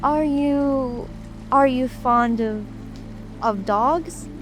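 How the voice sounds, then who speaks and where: A woman's voice: sits high, very slow. A woman playing a polite, curious little girl who speaks earnestly and apologetically in a dramatic audiobook. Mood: eager. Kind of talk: dramatic audiobook character reading. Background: music, rain ambience.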